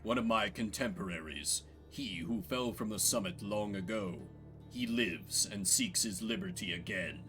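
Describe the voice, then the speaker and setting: A man voicing a grave, wise elder rebel leader in a game, speaking in a measured, formal, solemn manner. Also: on the low side, bright, forceful tone, soft background music, pitched below the performer's own voice.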